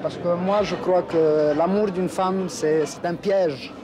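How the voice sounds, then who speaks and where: A man's voice: high-pitched, fairly even intonation, slow, a little hiss or hum. A man doing a casual street interview, asking brief polite questions and repeating the answers, outdoors on a busy street. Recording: loud street crowd behind.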